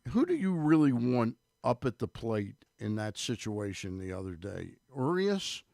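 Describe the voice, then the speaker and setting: A man co-hosting a sports talk show, chatting casually in a halting way as he reaches for his words. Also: mid-range voice, big pitch swings, vocal fry, a little mellow, no echo, clean studio sound. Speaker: sports radio co-host. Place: radio studio.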